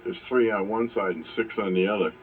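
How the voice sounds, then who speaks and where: A man's voice: on the low side, a little fast. A man chatting casually as an amateur radio operator over an AM shortwave transmission. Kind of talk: casual technical chat over ham radio. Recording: phone-like AM radio with faint noise.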